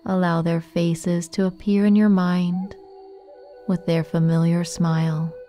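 A woman leading a guided meditation in a gentle, soothing, unhurried manner with long pauses. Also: lowish voice, flat delivery, soft background music.

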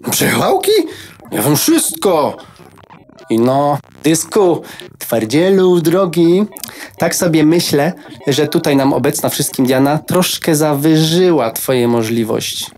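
A woman's voice: low voice, lively intonation, slow pace, darkish tone. A woman playing a boastful, chatty computer network card in a children's radio play, condescendingly polite. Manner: condescendingly polite, showing off facts.

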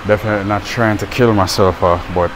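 Deep-voiced man vlogging over loud noise. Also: fairly even intonation, quick pace. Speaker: fitness vlogger. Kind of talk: casual vlog chat. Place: car at night, then a large indoor gym. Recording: loud ambient noise, rumbling ventilation.

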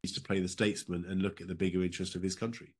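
A man's voice: sits low, level tone, quick pace, darkish tone. A middle-aged man with a British accent giving conversational answers as an interview guest on a video call. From United Kingdom. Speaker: interview guest, former diplomat. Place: indoor room at home, video call.

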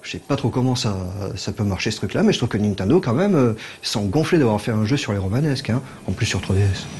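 A man's voice: lowish voice, animated. A middle-aged man hosting in a studio, joking and backtracking with many restarts. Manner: ironic, halting, many restarts. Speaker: show host. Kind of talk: joking on-camera chat. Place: studio before a green screen.

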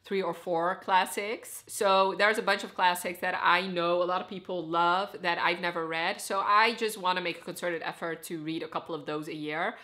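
A woman's voice: on the low side, steady tone, moderate pace, few pauses, sharp tone. A young woman from the Netherlands whose first language is Dutch, chatting eagerly to camera about books she wants to read, with lots of "like" in her talk. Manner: chatty, enthusiastic, says "like" often. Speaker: book vlogger. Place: living room with full bookshelves, indoors.

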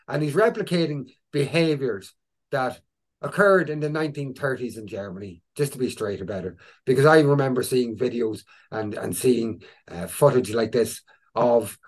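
A man's voice: medium pitch, a little mellow. A middle-aged man with an Irish accent, co-hosting a video call and giving opinionated commentary on news clips, with frequent restarts and fillers. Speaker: co-host presenting news clips. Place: indoor room with a virtual backdrop. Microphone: video call webcam.

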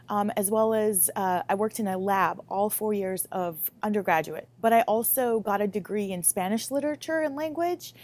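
A young woman in her late twenties with an American accent speaks at a medium pitch. She answers interview questions as a student researcher, explaining things clearly and articulately. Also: a little mellow. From Lithuania, moved to the US Midwest at about eight. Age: late 20s. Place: laboratory, indoors. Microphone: lapel mic.

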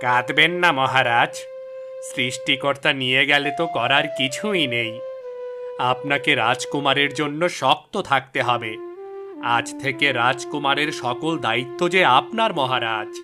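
A man's voice: mid-range voice, moderate pace, close to an everyday voice. A man voicing a loyal, consoling royal minister in a fairy-tale cartoon, earnest and deferential, with music behind him. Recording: loud music bed behind voice.

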